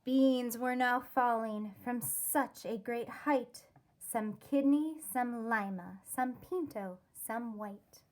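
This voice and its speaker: Female primary-style reader for rhyming picture book: high-pitched, unhurried, deliberate teacherly delivery. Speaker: teacher reading a storybook aloud. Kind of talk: expressive children's read-aloud of a rhyming story.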